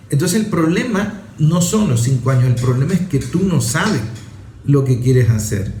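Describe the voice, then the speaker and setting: A man's voice: high voice, a little mellow, echoey room. A man giving an emphatic opinion monologue, listing his points and asking rhetorical questions.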